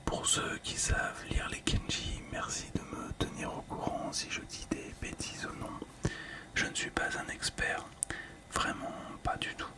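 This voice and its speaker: A man speaking in a breathy ASMR whisper, gently describing things in an unhurried, slightly hesitant way. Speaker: ASMR video creator.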